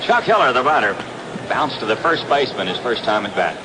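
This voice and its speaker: A man's voice: medium pitch, very animated, a little fast. An American man calling live baseball play-by-play in short clipped phrases on 1960s radio. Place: outdoor ballpark broadcast. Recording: very noisy 1960s radio broadcast recording.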